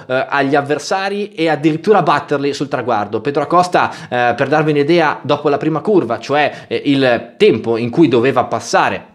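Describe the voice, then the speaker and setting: A man in his thirties, voice sitting a bit high, runs through race results for his channel. He talks in a casual, chatty flow, dropping filler sounds between thoughts. It is recorded close on a headset mic in a small room. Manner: chatty, many filler "eh" pauses. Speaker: motorsport YouTuber. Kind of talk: race recap commentary.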